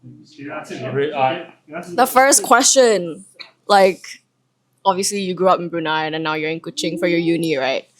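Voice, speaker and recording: A woman's voice: fairly low pitch, measured pace, long pauses. Young woman, chatty, filler words, casual co-host, living room. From Malaysia. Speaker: podcast co-host. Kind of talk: casual chat.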